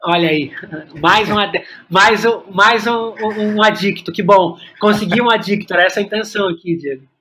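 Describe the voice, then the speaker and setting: Casting: Brazilian male podcast guest, high-pitched voice, informal delivery, halting with repeated words. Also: bright, forceful tone, slight background noise, low-quality recording. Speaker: podcast guest, playwright and workshop teacher. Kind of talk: casual conversational interview.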